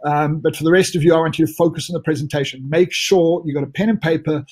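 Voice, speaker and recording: A South African man in his forties gives a live business webinar, slightly husky and a touch higher-pitched than most men. He talks in an upbeat, motivational way, with plenty of ums and restarts. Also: steady tone, fast talker, darkish tone. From Namibia. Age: 40s. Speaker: business coach presenting a webinar.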